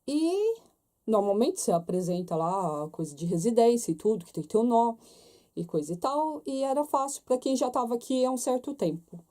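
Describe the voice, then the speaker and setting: A woman's voice: on the high side, lively intonation, normal speed, mellow. A young Brazilian woman with a Brazilian Portuguese accent, chatting casually to her viewers and checking in with them often. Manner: chatty, talks straight to viewers with many tag questions. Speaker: vlogger. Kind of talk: casual chat to camera.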